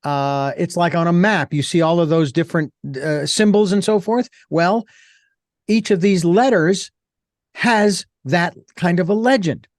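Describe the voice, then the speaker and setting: A male host with a slightly raised pitch asks curious, thoughtful questions and restarts his sentences now and then. The recording is dry and close, with no room sound around his voice. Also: measured pace, no room sound. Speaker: radio show host. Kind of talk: interview host.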